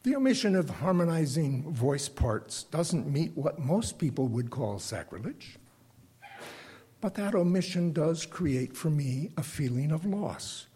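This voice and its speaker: An older man with a bass singing voice gives a reflective, prepared lay sermon to a congregation, speaking deliberately and haltingly with pauses. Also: sing-song, mellow, slightly rough. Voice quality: self-described bass voice.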